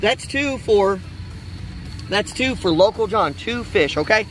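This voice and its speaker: A high-pitched American man hosts a casual outdoor vlog. He talks in a folksy, chatty way straight to viewers. The handheld camera mic picks up light ambient noise around him. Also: expressive, unhurried, a bit bright. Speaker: vlog host, father fishing with family. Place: outdoors beside a park pond. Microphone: handheld phone camera a few feet away.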